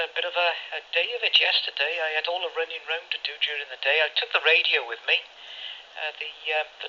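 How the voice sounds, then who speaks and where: A man's voice: fairly high pitch, bright, forceful tone. A man chatting casually over the radio in a rambling, chummy way, with mild swearing. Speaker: amateur CB radio operator. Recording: dull lo-fi radio audio, phone recording.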